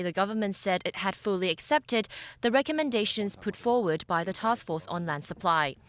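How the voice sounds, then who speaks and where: A woman reporter reading a scripted television news report. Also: mid-range voice.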